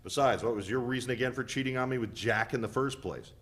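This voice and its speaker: A man in a dramatic play reading, playing a hurt, defensive partner who speaks in a guarded, deliberate way. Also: mid-range voice, a bit bright, natural voice. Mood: accusing, defensive.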